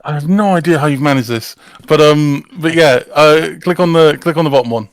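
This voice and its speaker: Higher-pitched man, stammering as he coaches. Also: a little fast, no echo, clean studio sound. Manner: stammering, repeats words while coaching. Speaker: live-stream participant giving technical help. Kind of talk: casual troubleshooting chat.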